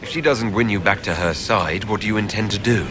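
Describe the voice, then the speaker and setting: Low-voiced, direct fantasy hero played by a man. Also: brisk, very noisy, natural voice. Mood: measured, probing. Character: direct, inquiring male Inquisitor, a fantasy hero. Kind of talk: video game character dialogue.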